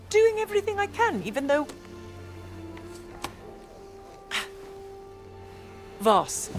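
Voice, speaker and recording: An actress playing a dignified, shrewd woman scholar with dry wit in a cinematic adventure game. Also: sits high. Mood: earnest.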